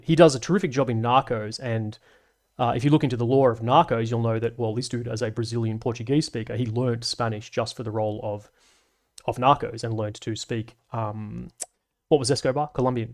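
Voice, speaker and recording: A young man hosting a live stream, rambling and swearing casually as he reads chat and laughs along. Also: medium pitch.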